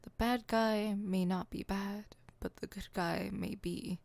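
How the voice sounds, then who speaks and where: Creaky, haughty supervillainess, acted by a woman. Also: mid-range voice, steady tone, slow pace, darkish tone, no room sound. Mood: solemn poetic. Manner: haughty, dramatic, stammering when flustered. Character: flustered theatrical female supervillain with a poetic streak. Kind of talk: ASMR roleplay acting.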